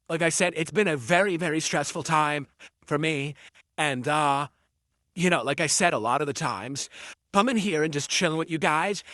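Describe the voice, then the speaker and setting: A man talks to his viewers from his home streaming room, rambling and defensive, with lots of fillers and false starts. His voice sits a little high, with a bright, forceful edge. The sound is dry and close, with no room echo. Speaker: livestreamer. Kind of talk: rambling livestream chat with viewers.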